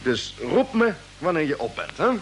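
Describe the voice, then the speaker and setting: A man's voice: on the high side, big pitch swings, moderate pace. A man acting a decisive, fatherly space expedition captain in mid-century radio drama, authoritative and reassuring. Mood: commanding. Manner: authoritative, reassuring, ends phrases with questioning tags. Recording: noisy old radio drama recording.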